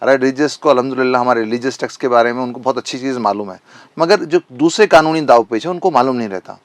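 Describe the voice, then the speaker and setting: A man's voice: medium pitch, quick pace, no room sound. A man speaking as an advocate in an interview, insistent and repeating phrases for stress. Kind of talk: impassioned opinion interview.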